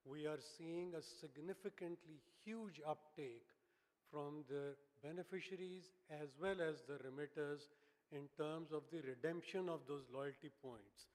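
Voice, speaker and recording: A man with a Pakistani English accent giving a formal keynote speech as a banking executive, hoarse and clearing his throat often. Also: fairly high pitch, measured pace. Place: large hotel conference hall. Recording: reverberant hall.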